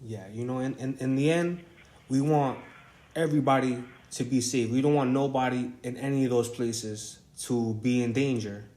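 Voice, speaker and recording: A man speaking casually as an interviewer in a phone conversation, halting and repeating himself as he rambles through his points. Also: mid-range voice, a little mellow.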